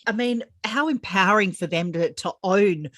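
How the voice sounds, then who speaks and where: A woman's voice: mid-range voice, moderate pace, no echo. A woman hosting a podcast interview over a video call, speaking emphatically and enthusiastically into a desk mic. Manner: warm praise, enthusiastic, emphatic. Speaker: podcast host. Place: bright room with large windows.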